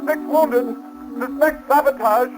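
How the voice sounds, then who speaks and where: An actor playing an alarmed navy officer sending an urgent report in a 1930s radio drama. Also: male voice, very high-pitched, a little slow, over music, poor audio. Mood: urgent, grim. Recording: old 1930s radio recording.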